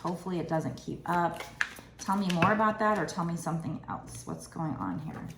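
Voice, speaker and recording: A woman in her thirties with a raspy, tired voice, giving a casual, rambling tarot card reading at a table indoors. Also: fairly low pitch, animated, brisk, roomy sound, noisy background. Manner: chatty, rambling, with many fillers. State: raspy from lack of sleep. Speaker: tarot reader.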